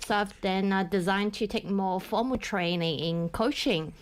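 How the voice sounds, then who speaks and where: Female guest, leadership coach, mid pitch, earnest and reflective, recounting her own story. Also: normal speed. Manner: earnest, reflective, with slight slips of grammar. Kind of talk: interview storytelling.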